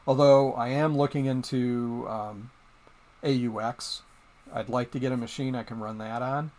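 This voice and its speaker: A man's voice: medium pitch, steady tone, moderate pace, darkish tone. Rambling man hosting a live stream. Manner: rambling, hesitant, many ums and restarts. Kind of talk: casual live stream chat.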